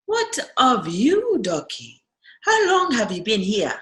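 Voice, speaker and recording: Unhurried, echoing, defiant woman prisoner, acted. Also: fairly high pitch, wildly swooping pitch, fairly bright tone, heavily acted. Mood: wry, curious. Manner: unhurried, wry, commanding. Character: cool, defiant woman prisoner who loves birds. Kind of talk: irreverent radio play acting.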